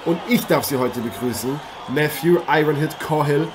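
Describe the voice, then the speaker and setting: A man's voice: a little high, normal speed, lightly acted. A man playing an eager, effusive TV boxing host in an improvised German audio drama. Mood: excited. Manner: effusive, formal address to viewers. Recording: noisy arena ambience behind voice.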